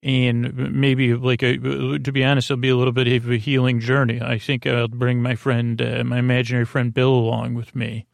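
A man hosting a podcast with a meandering, halting bedtime monologue, often losing his thread as he goes. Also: mid-range voice, level tone, vocal fry, no echo. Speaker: podcast host. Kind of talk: rambling, improvised bedtime monologue.

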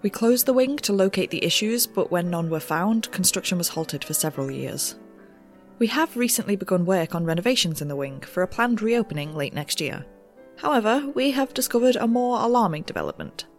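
An actress playing an eager, nervy young amateur ghost researcher, talking in wry, rambling audio notes for an audio drama. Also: female voice, mid-range voice, a little fast, soft background music. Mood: formal read-out. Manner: rambling, wry, animated asides.

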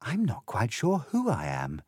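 Fairy-tale girl played by a male reader: polite, bewildered, melancholy, lifted pitch, lively intonation. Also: measured pace, slightly rough, no room sound, clean studio sound, full character voice.

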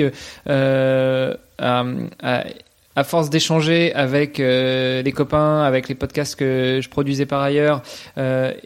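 A man in his thirties from France, talking casually in an interview with many pauses and restarts. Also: medium pitch, a little mellow. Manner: hesitant, many fillers and restarts. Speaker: podcast guest, athlete.

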